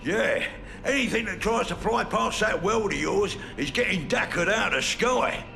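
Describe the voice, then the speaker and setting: Gravelly ork lackey. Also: male voice, high-pitched, lively intonation, unhurried, pushed and bright, soft background music, heavily acted. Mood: scheming. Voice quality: gravelly, crude slang.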